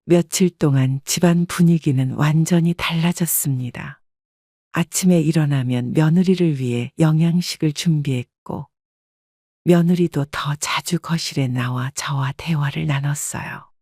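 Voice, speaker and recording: A woman narrating her own family story in the first person, calm and reflective. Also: low voice, a little slow, dry recording, clean studio sound.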